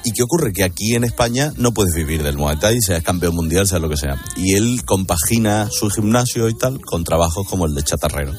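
A man hosting a radio show, introducing segments and chatting in a lively, joking way. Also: low-pitched, brisk, few pauses, faint music behind. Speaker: radio host. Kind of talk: casual radio chat.

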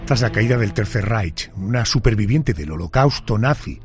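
A male host mixing measured, dramatic narration with questions to his guest. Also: on the low side, animated, slightly rough, faint music behind. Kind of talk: documentary narration and interview questions.

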